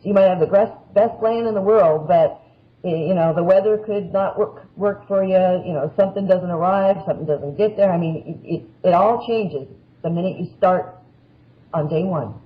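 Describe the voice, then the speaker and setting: Male panel guest, notably high-pitched voice, conversational explainer; muffled audio. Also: moderate pace. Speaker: expert guest on a podcast. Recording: dull, band-limited audio.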